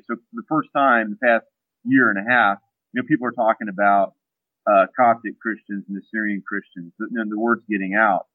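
Phone-in guest, a man with a deeper voice, talks in halting stops and starts, dry narrow line. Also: dry recording. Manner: halting, many fillers and restarts. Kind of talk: casual radio interview talk.

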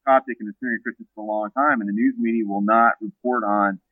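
A man speaking as a guest on an interview show, halting and full of fillers and restarts, heard over a phone line. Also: on the low side, steady tone, dry recording. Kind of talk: casual radio interview talk. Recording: dry, tinny phone-line sound.

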